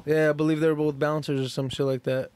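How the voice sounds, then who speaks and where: A man's voice: on the high side, level tone, fast talker, a loud crowd. A man co-hosting a podcast in casual, profane banter, blunt and crude in his joking. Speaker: podcast co-host.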